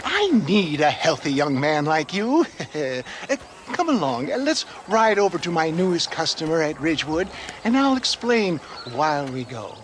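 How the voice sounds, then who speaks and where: Pompous, high-pitched old snake-oil salesman. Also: sing-song, normal speed, a little hiss or hum. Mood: wheedling, evasive. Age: older. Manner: florid, pompous, theatrical orator.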